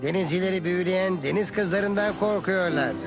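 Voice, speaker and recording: An actor playing a kindly elderly teacher who explains history to children in a dubbed educational cartoon. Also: male voice, sits high, normal speed. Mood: patient, instructive. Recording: loud music behind the voice.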